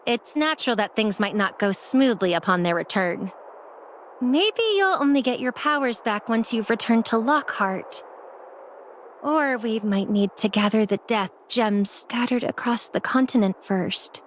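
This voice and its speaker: Earnest, higher-voiced young anime game heroine, played by a woman. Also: unhurried, a little hiss or hum, lightly acted. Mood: explaining. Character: earnest young woman, a devout prophecy-following emissary.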